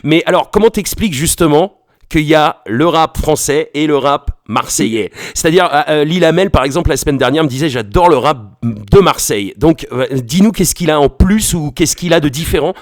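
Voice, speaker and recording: A man's voice: a little high, animated, moderate pace, no echo, clean studio sound. A man hosting a casual radio interview, chummy and slangy, often repeating short affirmations. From Paris region, France.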